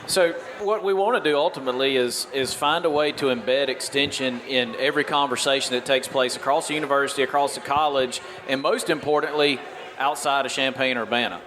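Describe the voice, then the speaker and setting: A man's voice: medium pitch, brisk, fairly bright tone. Earnest male extension director. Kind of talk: panel discussion remarks.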